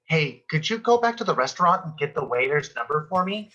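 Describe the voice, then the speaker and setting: Dull lo-fi call, teasing knowing coworker, played by a man, highish bright voice, diva flair. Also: very animated, a little fast. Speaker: actor in a sitcom table read. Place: indoors, on a video call. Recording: dull, lo-fi video-call audio.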